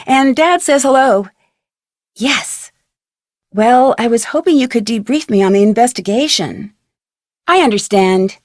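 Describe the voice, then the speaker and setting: Plucky young female detective, video game, high expressive voice, very animated intonation, earnest, clearly enunciated. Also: dry recording, studio quality.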